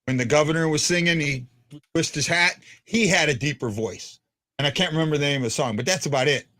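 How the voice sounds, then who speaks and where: A man with a deep voice hosting a casual fan podcast, rambling with stumbling restarts. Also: fast talker, sharp tone. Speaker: podcast host.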